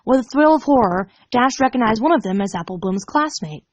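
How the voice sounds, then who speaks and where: A woman's voice: fairly high pitch, lively intonation, brisk, no room sound. A woman narrating fan fiction in a dramatic storytelling style.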